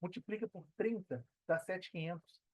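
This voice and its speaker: A man's voice: high-pitched, normal speed, breathy edge, dry recording, lo-fi sound. A Brazilian man speaking as a podcast guest in casual, slangy conversation, earnest and emphatic, repeating phrases for emphasis. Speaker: podcast guest, digital entrepreneur. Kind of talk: casual conversational interview talk.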